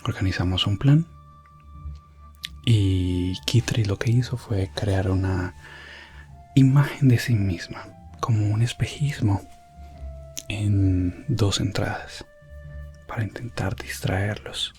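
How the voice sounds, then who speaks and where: A man narrating a medieval ASMR roleplay as an elf prince explorer, in a hushed voice that slips in and out of whispering. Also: on the low side, slow pace, pauses often, faint music behind. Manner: hushed, drifting between soft voice and whisper. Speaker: ASMR storyteller.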